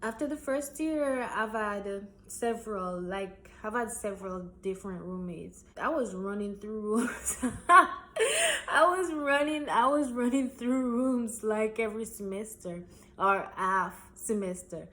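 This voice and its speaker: A woman's voice: mid-range voice, lively intonation, measured pace, sharp tone. A young woman from Jamaica telling a storytime vlog in a casual, rambling way with many ums and restarts. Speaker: vlogger.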